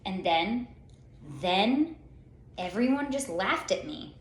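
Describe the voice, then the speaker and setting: A woman reading a children's story aloud in an expressive, theatrical way, with dramatic pauses and drawn-out words. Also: medium pitch. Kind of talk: expressive picture-book storytelling. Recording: roomy, echoey sound.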